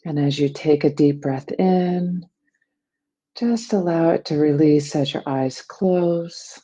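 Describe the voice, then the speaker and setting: A person leading a guided meditation in a soothing, unhurried manner. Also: mid-range voice, mellow, lo-fi sound. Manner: soothing, unhurried phrasing with breathy releases.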